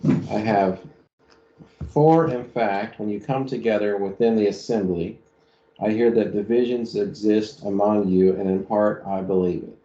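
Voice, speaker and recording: Man, slow halting reader, low mellow voice, echoey room, muffled recording. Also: fairly even intonation. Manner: hesitant, pauses between words with fillers. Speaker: class member reading Greek text. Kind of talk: slow halting reading aloud. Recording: echoey room, dull lo-fi sound.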